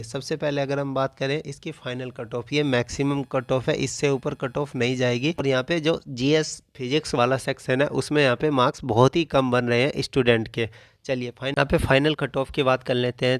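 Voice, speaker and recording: A man's voice: mid-range voice, dry recording. A man explains like a coaching teacher in an informal analysis, often restating his phrases.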